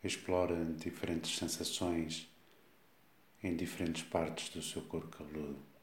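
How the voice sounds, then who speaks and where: A man with a European Portuguese accent guides a body scan meditation, giving slow, calm instructions with long pauses. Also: low-pitched. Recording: echoey, reverberant room sound.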